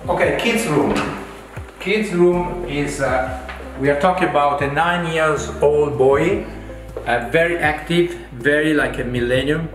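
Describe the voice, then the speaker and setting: A middle-aged man who is not a native English speaker, presenting a home walkthrough in a halting but enthusiastic way. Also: on the high side. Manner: halting, filler-heavy, enthusiastic praise. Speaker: designer presenting a home renovation. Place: tiled and furnished rooms inside a villa. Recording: very echoey rooms, background music. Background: instrumental guitar music.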